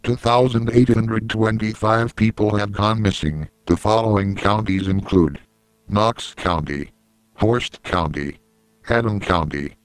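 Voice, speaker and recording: A man playing a grave, impersonal emergency alert announcer in an analog horror broadcast, formal and deadpan. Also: lowish voice, a little slow, clear, resonant tone, no room sound, lightly acted, low-quality recording.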